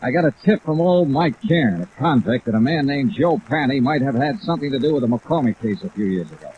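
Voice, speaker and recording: Tough male radio detective, played by a man, clipped and wry, thin vintage sound under loud rain hiss. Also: medium pitch, expressive, a little fast, close to an everyday voice. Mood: terse, urgent. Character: tough, unflappable freelance insurance investigator, a man. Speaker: lead radio actor. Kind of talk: 1950s radio detective drama acting and narration. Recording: old tinny radio recording, rain hiss over it.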